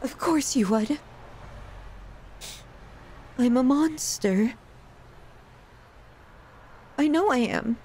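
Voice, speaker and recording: A woman playing an obsessive, self-loathing young yandere in an intimate ASMR roleplay, with an emotional, halting, pleading delivery. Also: high voice, expressive, darkish tone, slight background noise, heavily acted. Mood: anguished self-loathing.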